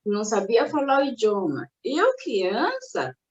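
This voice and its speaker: A woman's voice: a little high, huge pitch swings, a little slow. An older woman born in Japan, speaking as an interviewee and recalling her family's past in a reflective, hesitant way with many restarts. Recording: roomy, dull recording.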